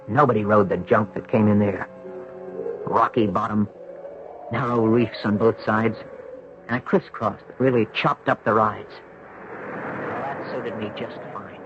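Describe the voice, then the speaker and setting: A man's voice: on the low side, measured pace, long pauses, music in the background. An actor playing a troubled young man, a surfer, in a 1970s radio drama. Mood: haunted, intense. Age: young adult. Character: pale, troubled young surfer recounting his near-death. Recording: dull, noisy old radio broadcast.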